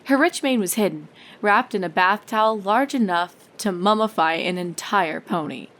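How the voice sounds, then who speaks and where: A woman's voice: medium pitch, moderate pace, fairly bright tone. A woman reading fan fiction aloud as a scripted story narrator.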